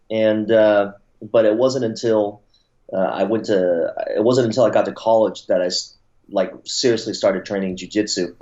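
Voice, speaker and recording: A man's voice: lowish voice, warm tone. A man in his forties, born in Taiwan and raised in the United States, telling his story in a rambling way as an interview guest, with many fillers and restarts. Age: 40s. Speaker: interview guest, martial arts promoter. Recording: dull lo-fi sound.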